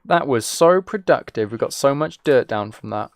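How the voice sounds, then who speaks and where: A man's voice: mid-range voice, animated, moderate pace. A twenty-year-old man chatting casually as he streams a game, rambling along in a joking, teasing way. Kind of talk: casual chat while playing a game.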